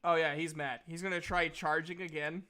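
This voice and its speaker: A man's voice: a little high, fairly even intonation, fairly quick, sharp tone. A man casually narrating a tabletop game as the game master.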